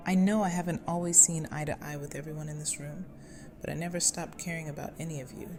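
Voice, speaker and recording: A woman's voice: sits low, steady tone, fast talker, a crowd in the background, lightly acted. A woman playing an earnest, resolute community leader seeking reconciliation in a fan audio drama. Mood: contrite, soft. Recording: music under the voice.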